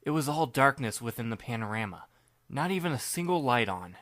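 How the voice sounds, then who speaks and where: A man's voice: mid-range voice, sing-song, a little fast, a bit bright, no room sound. Dry, wry young male narrator. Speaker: narrator and lead reader. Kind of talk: first-person audiobook narration.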